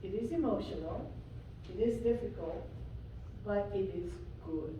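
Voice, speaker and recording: A woman from Syria, a guest preacher, telling a personal story in a sermon with slow pauses and fillers. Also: mid-range voice, mellow, a little hiss or hum. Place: church sanctuary. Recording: echoey church from far off.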